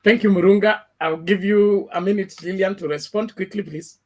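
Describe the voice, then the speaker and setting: A man's voice: high-pitched, fairly even intonation, normal speed, darkish tone. A man moderating a live discussion, handing out turns to speakers. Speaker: debate moderator.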